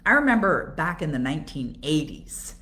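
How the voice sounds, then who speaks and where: A woman's voice: low-pitched, sing-song, normal speed, fairly bright tone. An older woman with a Canadian accent, speaking conversationally as a coach on a live Q&A and answering her own rhetorical questions as she explains. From Toronto, Canada. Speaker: coach and author hosting a live Q&A.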